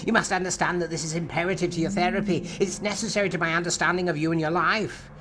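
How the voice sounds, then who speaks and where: A man playing a patient, probing machine therapist in an audio drama, speaking in a measured, formal, clinical way. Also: high voice, fairly even intonation, background noise, close to an everyday voice. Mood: firm, patient. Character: probing, patient male computerised therapist consulting databanks. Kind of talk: scripted audio drama dialogue. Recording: clear music behind the voice. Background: music.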